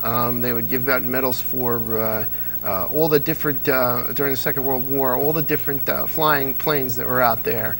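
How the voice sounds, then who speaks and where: A man in his thirties, a guest on a studio interview show, who tells stories with animated energy and slips into quick impressions. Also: mid-range voice, vocal fry, slight background noise, low-quality recording. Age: 30s. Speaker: guest, radio enthusiast and TV producer. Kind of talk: casual studio interview chat.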